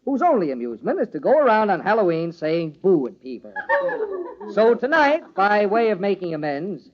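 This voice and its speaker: An actor playing a jovial party host with a secret lover, in theatrical old-time radio style. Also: male voice, high-pitched, wildly swooping pitch, moderate pace, full character voice. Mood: mock-grand, playful. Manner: theatrical mock-pompous speechmaking, hushed urgency in private. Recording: dull old radio recording, organ music behind.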